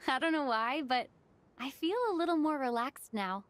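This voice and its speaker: A woman playing a sincere young female traveler in a video game, reflective, careful and quietly determined. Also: high voice, animated, moderate pace, slight background noise. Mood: warm, resolved. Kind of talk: video game story acting. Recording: music behind the dialogue.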